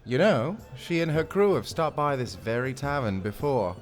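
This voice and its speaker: A man's voice: mid-range voice, very animated, moderate pace, faint music behind, close to an everyday voice. A man playing a self-satisfied tiefling tavern keeper in a fantasy audio drama, breezy, playful and smug. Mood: proud, casual.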